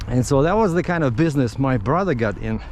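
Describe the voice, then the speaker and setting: A middle-aged man from Russia with a Russian accent tells stories casually in English as a non-native speaker, haltingly searching for words. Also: mid-range voice, animated, a little mellow. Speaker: vlogger. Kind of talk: casual rambling storytelling. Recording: outdoor street noise with traffic.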